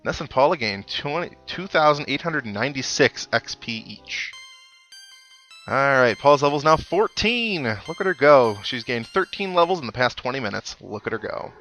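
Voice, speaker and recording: A man's voice: mid-range voice, lively intonation, moderate pace, bright, forceful tone. A man giving casual, wry commentary as he hosts a video game playthrough. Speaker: video game let's play host. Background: video game music.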